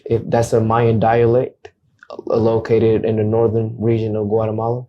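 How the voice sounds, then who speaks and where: A man's voice: lowish voice, monotone, normal speed, lo-fi sound. A young man whose first language is Acateco, speaking earnestly as a guest realtor in a casual interview and often repeating words as he starts a thought. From United States.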